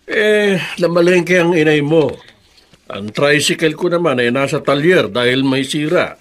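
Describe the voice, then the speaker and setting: A man's voice: a little high, unhurried, a bit bright. An actor in a radio drama playing a concerned, plain-spoken older father with a hesitant, folksy, advising manner.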